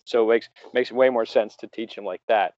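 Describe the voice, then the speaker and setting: A man's voice: lowish voice, steady tone, brisk, no room sound. A man in casual, informal chat, agreeing and reacting, his sentences peppered with filler "like". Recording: dull lo-fi but dry sound.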